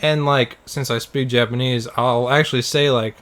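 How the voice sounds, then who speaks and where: A man with a mid-pitched voice chats as a podcast co-host. He tells anecdotes in an informal, conversational way, quoting people as he goes. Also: steady tone, moderate pace, fairly bright tone. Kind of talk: casual chat, anecdotes.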